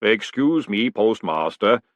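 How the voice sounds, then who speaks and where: A man voicing a huge male clay golem who speaks slowly, calmly and ponderously. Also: dry recording, dull audio. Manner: slow, booming, calm.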